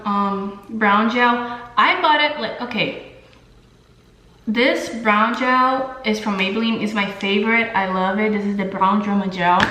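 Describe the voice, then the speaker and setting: A roomy, echo-heavy home recording of a young woman with a Brazilian accent and a fairly high voice. She talks like a beauty vlogger, chatting casually to the camera. Her delivery is informal and candid, with plenty of slang. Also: a bit bright. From São Paulo, Brazil. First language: Portuguese. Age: young adult. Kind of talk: casual chat to camera while doing makeup.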